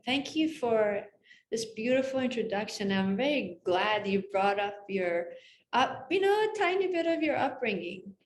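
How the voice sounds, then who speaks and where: A woman hosting a video interview, speaking in a gentle, measured and welcoming way. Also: fairly high pitch, expressive, roomy sound, slight background noise. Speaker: host.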